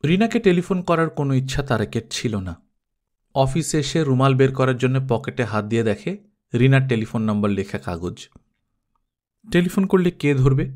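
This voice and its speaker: A man's voice: medium pitch, lively intonation, normal speed, a little mellow. A man reading fiction aloud as a story narrator in scripted audiobook narration.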